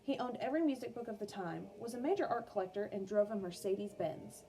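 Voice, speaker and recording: A woman reading an educational biography aloud for children as a music teacher, in a clear, measured storytelling manner. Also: mid-range voice, lively intonation, dark tone. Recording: music playing behind the voice. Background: background music.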